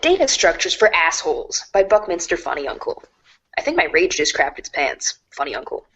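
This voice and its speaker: Woman playing a husky, scornful textbook author. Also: on the low side, sing-song, fairly quick, fairly bright tone, dull audio, exaggerated voice. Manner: scornful, insulting. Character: abusive, contemptuous author of a computer textbook.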